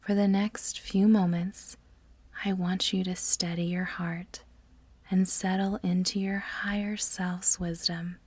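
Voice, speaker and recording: Slow, soft-voiced woman guiding meditation. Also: fairly low pitch, flat delivery, dry recording. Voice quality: soft, breathy, often near-whisper. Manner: soothing, measured, deliberate pauses between phrases. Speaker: guided meditation guide. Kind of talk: slow guided meditation narration.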